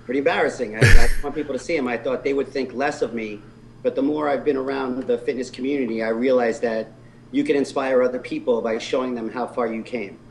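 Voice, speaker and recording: A man's voice: medium pitch, steady tone, fast, low-quality recording. Candid man in his forties, faint hiss. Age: 40s. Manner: earnest and candid, with self-deprecating humor. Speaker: physique competitor guest. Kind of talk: telling his life story in an interview.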